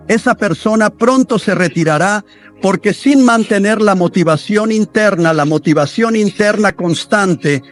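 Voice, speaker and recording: A man delivering a sermon as a voice-over, in an earnest, steady preaching manner. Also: high voice.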